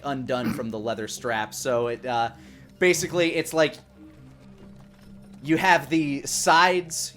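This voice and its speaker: A man improvising narration as dungeon master of a tabletop game, piecing descriptions together haltingly. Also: medium pitch, a bit bright.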